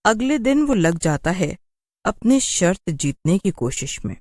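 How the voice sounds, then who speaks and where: A woman's voice: lowish voice, big pitch swings, unhurried, darkish tone, no room sound, clean studio sound. A woman narrating a scripted cartoon story in a dramatic storytelling style.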